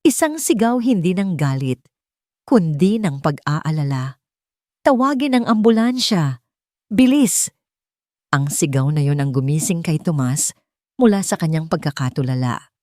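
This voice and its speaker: A woman's voice: low voice, expressive, moderate pace, darkish tone, dry recording, studio quality. A woman reading a scripted dramatic story in a theatrical, suspenseful manner. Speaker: story narrator.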